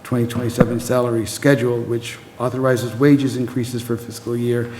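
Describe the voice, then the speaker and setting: A man reads formal articles aloud at a committee meeting in a flat monotone that barely rises or falls. The room is echoey with a long reverb, and there is a faint hiss underneath. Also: medium pitch, normal speed. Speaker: committee member reading articles.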